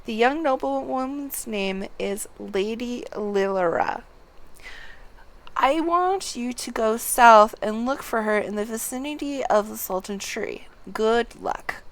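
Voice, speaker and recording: A woman's voice: fairly high pitch, slow pace. A woman reading aloud the formal, grave lines of an earnest male quest-giver in a fantasy game. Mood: relieved, earnest.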